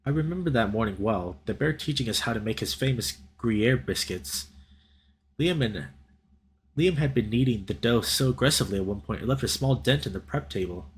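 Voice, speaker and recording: A young man doing a playful, expressive let's play, reading a visual novel aloud and bantering with his chat. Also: medium pitch, a little fast, a little hiss or hum. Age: young adult.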